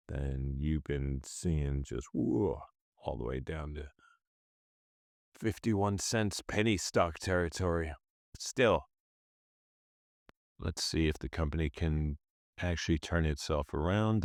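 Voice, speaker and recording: Deep-voiced guy going over his trades, kinda stuffed up, rambly and correcting himself. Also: vocal fry, darkish tone, no room sound, clean studio sound. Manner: rambling, self-correcting, thinks aloud. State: congested from a cold. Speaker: stock trading channel host. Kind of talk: casual screen-share commentary on trades.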